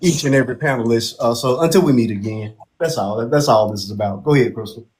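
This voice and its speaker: A man hosting a casual live-stream panel, speaking in a relaxed, halting way. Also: medium pitch. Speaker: host of a live panel show.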